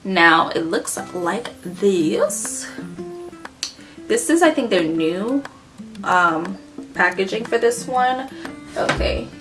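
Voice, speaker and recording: A woman's voice: medium pitch, expressive, slow pace, long pauses, fairly bright tone. A woman with a Haitian Creole accent giving a chatty, rambling makeup review, full of ums and self-corrections. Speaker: beauty vlogger. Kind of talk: casual makeup product review. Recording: clear background guitar music.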